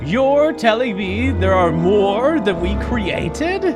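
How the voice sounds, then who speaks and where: Guy doing anxious old park owner in audio drama, high lively voice, really drawn out, music behind. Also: slow. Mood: alarmed disbelief. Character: commanding, anxious park owner and worried grandfather.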